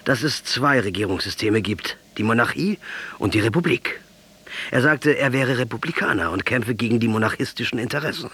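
A man's voice: medium pitch, a little fast, a bit bright, breathy edge. An actor playing a proud, fervent Argentine guerrilla commander in a radio drama, lecturing and sardonic as he argues. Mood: fervent storytelling. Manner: didactic, sardonic, rhetorical storytelling.

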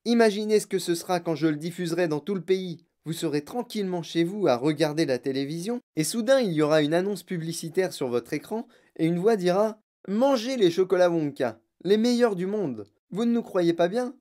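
A narrator voicing an excitable, bossy, eccentric male chocolate factory owner in a children's audiobook, with exclamatory, theatrical commands. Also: medium pitch, a little fast, hardly any pauses, natural voice. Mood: grandiose. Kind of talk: children's audiobook character acting.

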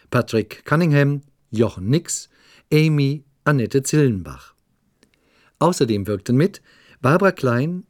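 A man reading radio play credits as a formal, measured announcer. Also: mid-range voice, darkish tone, dry recording, clean studio sound.

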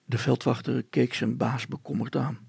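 A man narrating a scripted children's story as a storyteller, in a deliberate, old-fashioned way. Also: mid-range voice, level tone, gravelly, a little mellow, slightly rough, no room sound.

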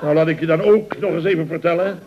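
An actor playing a pompous, easily flustered middle-aged contractor, blustering and stammering in a radio comedy serial. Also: male voice, fairly high pitch, fast, close to an everyday voice. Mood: indignant bluster. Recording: muffled old radio recording.

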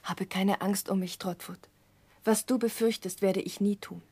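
An actress playing a gentle, calm, selfless young woman with a soft, breathy voice and composed manner in a 1960s German radio play. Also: medium pitch, normal speed, no room sound. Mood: quiet reassurance. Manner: composed, quiet, sincere.

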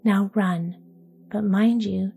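A woman voicing a bold, wild young robber girl in a soft whisper. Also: medium pitch, fairly even intonation, slow pace, gravelly, soft background music. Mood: bossy.

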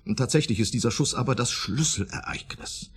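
A man's voice: mid-range voice, animated, brisk, husky. An actor playing a self-important male psychotherapist with a pompous, lecturing manner in a German radio play. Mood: lecturing, insistent. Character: self-important married male psychotherapist, blind to his failings.

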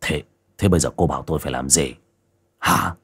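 A man voicing a cruel, hot-tempered grown son, speaking gruffly and snapping. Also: extremely low pitch, brisk, a bit bright, voice pushed down, heavily acted. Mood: panicked.

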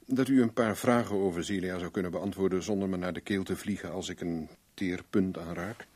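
An actor in a Dutch radio drama playing a wry, flirtatious private investigator with a glib, teasing manner. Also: male voice, sits low, fairly even intonation, a little fast, a little mellow. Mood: probing. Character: wry, flirtatious, cool-headed male private investigator for hire.

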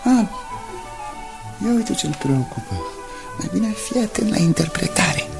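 Casting: dry, cautious newspaperman for radio drama; gravelly voice, lively intonation. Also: sits high. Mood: puzzled, skeptical. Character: wry, skeptical newspaper colleague, dry and cautious. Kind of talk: 1980s Romanian radio drama acting. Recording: music behind the dialogue.

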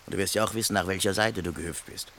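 An actor playing a gruff, sarcastic vagabond who grumbles in colloquial speech in a radio play. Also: male voice, deep voice, steady tone, quick pace, pushed and bright, slight background noise. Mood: matter-of-fact, arguing. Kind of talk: German radio play acting.

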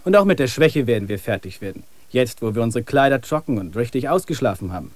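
An actor in a radio play as a wry, weary male traveler, speaking in an even, reflective way. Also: medium pitch, fast, dry recording. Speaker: main character.

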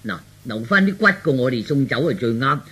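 A man's voice: mid-range voice, expressive, brisk, pushed and bright, breathy edge. A man voicing a boastful, hard-drinking middle-aged father who dotes on his daughter, with hearty, tipsy banter in Cantonese storytelling drama.

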